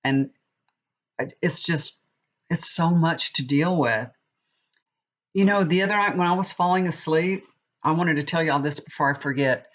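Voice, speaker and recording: Middle-aged woman, sniffly with a cold, rambling casual vlog chat, dull lo-fi sound. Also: low voice. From Texas. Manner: chatty, rambling, with many restarts. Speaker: tarot reader. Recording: dull lo-fi recording.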